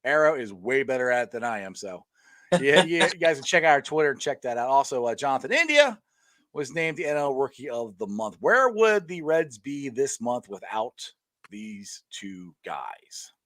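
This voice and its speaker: A middle-aged man hosting a casual sports chat, telling stories in a rambling, animated way with many restarts and laughs. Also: medium pitch, moderate pace, dry recording. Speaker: podcast host.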